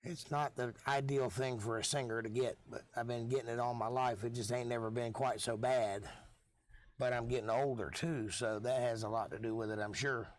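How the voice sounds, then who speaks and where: A middle-aged man, hoarse from losing his voice, chatting casually with viewers as host of a live stream. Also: mid-range voice, steady tone, normal speed, no room sound. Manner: laid-back, chatty, folksy.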